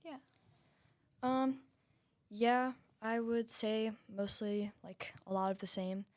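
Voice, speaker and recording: A teenage girl runner talking casually, with a lot of likes and ums and a gravelly fry. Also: fairly high pitch, slow, no room sound. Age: teens. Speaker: high school runner.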